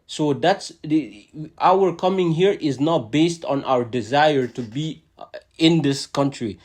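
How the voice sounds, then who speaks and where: A man's voice: medium pitch, a little mellow. A man hosting a podcast who grew up speaking a language other than English or French. He talks in an earnest, casual way, repeats words often and keeps asking "right?". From immigrant to Canada. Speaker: podcast host.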